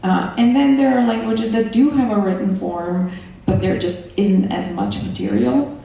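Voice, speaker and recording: A woman's voice: mid-range voice, animated, normal speed, gravelly, dark tone. A woman whose first language is Slovenian, speaking on a conference panel in a thoughtful way with hesitant restarts, recorded from far off in an echoey hall.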